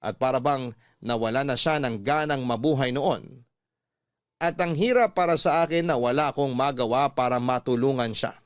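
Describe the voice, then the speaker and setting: A Filipino man narrates a radio drama story in a mid-pitched voice. He reads earnestly and deliberately, pausing for drama, in a dry studio sound. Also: clear, resonant tone, no room sound. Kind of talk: scripted first-person story narration.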